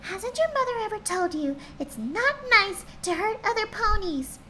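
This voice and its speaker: A woman voicing a gentle female pony who scolds like a stern mother. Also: extremely high pitch, sing-song, moderate pace, a little hiss or hum, pitched above the performer's own voice, exaggerated voice. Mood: scolding.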